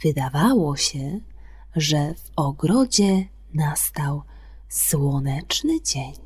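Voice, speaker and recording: A woman telling a children's fairy tale as scripted narration, expressive and gentle, with deliberate phrasing. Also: deep voice, faint music behind. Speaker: storyteller on a children's radio programme.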